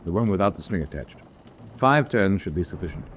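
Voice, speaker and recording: Deep-voiced wartime briefing officer. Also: male voice, lively intonation, fairly quick. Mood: brisk, instructive. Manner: instructive, matter-of-fact. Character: methodical, calm POW officer briefing an escaper. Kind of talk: vintage-style war radio drama acting. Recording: noisy track with background ambience.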